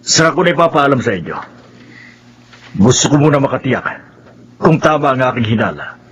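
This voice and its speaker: An actor playing a determined, suspicious village man in a radio horror drama, speaking in a deliberate, suspenseful way. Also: mid-range voice, very animated, breathy edge. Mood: resolute. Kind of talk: Filipino radio horror drama acting, narrating in first person. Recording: dull audio with loud background noise.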